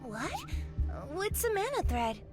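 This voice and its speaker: An actress playing a nervous, fretful young girl adventurer with a plaintive, expressive delivery in a game cutscene. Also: extremely high pitch, slow pace, full character voice. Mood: confused. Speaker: video game voice actor. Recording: loud music behind the voice.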